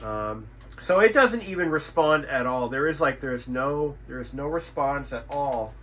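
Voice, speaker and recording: A man's voice: medium pitch, lively intonation, unhurried, fairly bright tone, slight background noise. A man who works as a repair technician, talking unscripted as he inspects his work, thinking aloud, repeating himself and swearing mildly. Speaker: radio repair technician and channel host.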